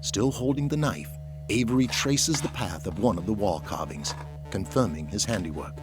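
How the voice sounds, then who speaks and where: A man's voice: lowish voice, lively intonation, moderate pace. A man giving dramatic scripted narration as the narrator of an audio drama. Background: music.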